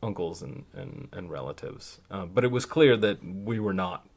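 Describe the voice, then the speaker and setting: Man with a low voice, podcast guest; casual, reflective storytelling in halting phrases. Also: vocal fry. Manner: halting, thoughtful, pauses mid-sentence.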